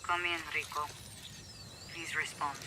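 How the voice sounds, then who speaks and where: Young game rebel, strong-willed, earnest, direct, noisy background. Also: female voice, low voice, fairly even intonation, measured pace. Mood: urgent. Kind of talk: video game cutscene acting.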